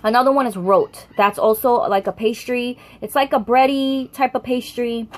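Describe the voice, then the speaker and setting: A woman's voice: fairly high pitch, steady tone, normal speed. Chatty young American woman vlogger. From United States. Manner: rambling, chatty, frequent self-corrections. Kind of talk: casual talk to camera.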